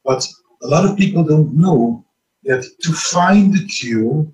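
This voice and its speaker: A man from Curaçao with a Curaçaoan accent, Papiamento his first language, answering interview questions in a measured way with many fillers. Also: fairly high pitch, expressive, a little mellow, echoey room, distant mic, rough recording. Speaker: wellness retreat organizer.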